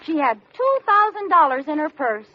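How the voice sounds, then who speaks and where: An actress playing an eager, scatterbrained, stubborn housewife in an old-time radio sitcom. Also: female voice, sits high, big pitch swings, moderate pace, highs cut off. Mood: naive, confident. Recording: noisy old radio recording, studio audience.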